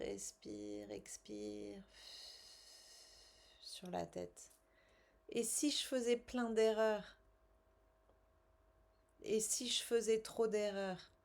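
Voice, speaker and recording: A woman coaching a guided tapping exercise in short, measured, instructional phrases with pauses and repeated statements. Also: mid-range voice, lively intonation, gravelly, darkish tone. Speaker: tapping coach and language teacher.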